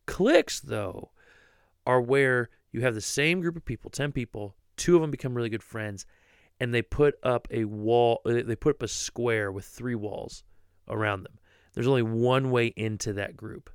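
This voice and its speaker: A man's voice: mid-range voice, normal speed, clean tone, no echo, clean studio sound. A man from Ohio co-hosting a ministry podcast in casual chat, talkative, often repeating words as he starts a thought. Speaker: podcast co-host, youth pastor.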